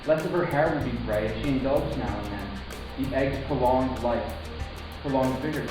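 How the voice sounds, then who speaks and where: A man playing a reflective young son who narrates his family's past in a measured, literary dramatic reading. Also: mid-range voice, natural voice. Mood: wistful, reflective. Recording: echoey, distant, music underneath. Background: music.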